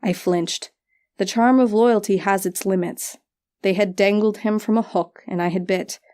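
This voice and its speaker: A woman's voice: medium pitch, steady tone, normal speed, warm tone. A woman narrating a literary first-person fantasy story as an audiobook reader.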